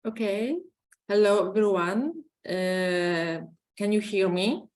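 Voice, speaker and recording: A woman, hoarse from illness, giving an online conference presentation over a video call in halting English full of fillers. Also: medium pitch, darkish tone. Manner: halting, many fillers and restarts. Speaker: conference speaker.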